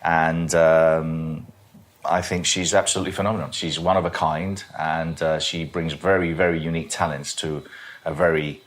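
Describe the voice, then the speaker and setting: A man's voice: very deep voice, level tone, moderate pace. A man hosting an interview show, introducing and questioning his guest.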